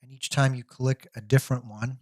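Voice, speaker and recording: A man giving a plain, step-by-step voice-over walkthrough of headset software, now and then dropping an "uh". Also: mid-range voice, fairly even intonation, a little fast, no room sound, studio quality. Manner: plain step-by-step explaining, occasional "uh" fillers. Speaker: tech product reviewer.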